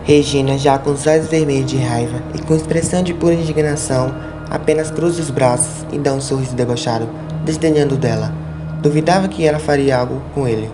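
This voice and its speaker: A man narrates an audio drama from a script. His voice sits in the middle register, and loud music runs prominently beneath it. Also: mid-range voice, fairly quick.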